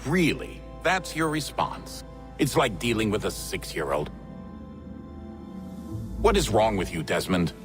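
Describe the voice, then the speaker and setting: A stern, middle-aged father in a game drama. He is demanding and short-tempered, his pitch leaping about dramatically over loud music. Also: big pitch swings, a little slow, long pauses. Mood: exasperated. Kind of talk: dramatic video game dialogue.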